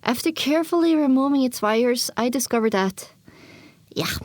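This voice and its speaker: A woman narrating a first-person story as an audiobook, expressive and dramatic, on a close microphone. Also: high-pitched, moderate pace, dry recording.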